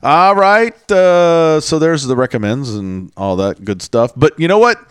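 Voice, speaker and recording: Smooth-voiced male radio host, casual and joking. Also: a little high, big pitch swings, a little slow, faint music behind. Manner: informal, joking, rambling. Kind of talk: casual live radio banter. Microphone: close studio radio mic.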